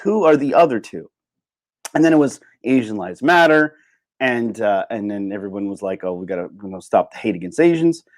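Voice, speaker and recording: A man's voice: fairly low pitch, animated, fairly quick, no room sound. A man hosting a casual, opinionated livestream monologue, speaking informally and emphatically, repeating phrases to drive his points home. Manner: informal, with occasional swearing. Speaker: livestream host.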